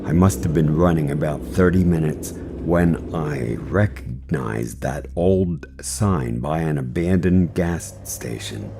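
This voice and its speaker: Deep, gravelly male horror story narrator. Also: slow, hardly any pauses, noisy background. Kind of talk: scripted first-person scary story narration.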